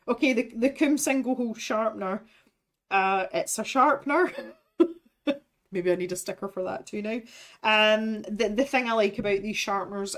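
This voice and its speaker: Lady rambling through an art box unboxing on stream, chatty, laughs a lot, mid pitch. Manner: chatty, rambling, laughs often, reads product blurbs aloud. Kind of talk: casual unboxing livestream chat.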